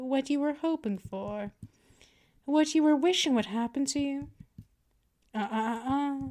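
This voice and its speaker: A woman's voice: on the high side, darkish tone. A woman playing a dominant, seductive vampire mistress in an ASMR roleplay, coaxing and condescending, speaking intimately to the listener. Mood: teasing, smug, then mocking, possessive. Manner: slow, coaxing, condescending, drifting into whispers. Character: dominant seductive female vampire mistress, patient, teasing, possessive.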